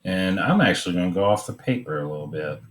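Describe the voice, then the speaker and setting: A man giving an informal drawing demonstration, talking in a hesitant, halting way as he thinks aloud. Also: sits low, lively intonation, a little hiss or hum. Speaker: art demonstrator.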